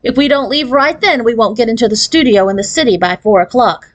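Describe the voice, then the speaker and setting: A woman's voice: fairly high pitch, fairly quick, pitched above the performer's own voice, natural voice. A woman reading a storybook as a bossy, pushy mother talking to her young daughter. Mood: bossy, impatient.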